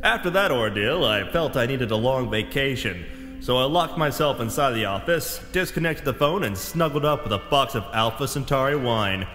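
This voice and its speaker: A man's voice: mid-range voice, animated, normal speed, fairly bright tone, soft background music, lightly acted. A man playing a tough, swaggering pulp adventurer with hard-boiled delivery in a radio serial parody. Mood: wry narration.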